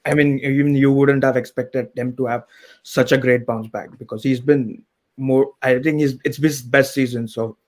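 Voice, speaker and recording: A man calling in to a talk show, talking in a measured way and thinking aloud. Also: mid-range voice, steady tone. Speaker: caller. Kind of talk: casual call-in chat.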